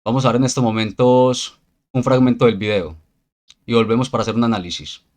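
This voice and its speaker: A man hosting a news commentary channel, speaking in a measured scripted way and linking segments with set phrases. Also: mid-range voice, fairly even intonation, darkish tone.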